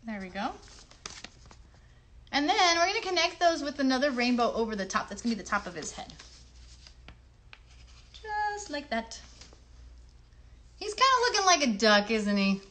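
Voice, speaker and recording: A woman's voice: high-pitched, big pitch swings, moderate pace, long pauses, fairly bright tone, slight background noise. A woman hosting a children's craft livestream, chatting through each step in simple words and often checking in with her young viewers. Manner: chatty, encouraging, often asks the viewers questions; simple child-directed wording.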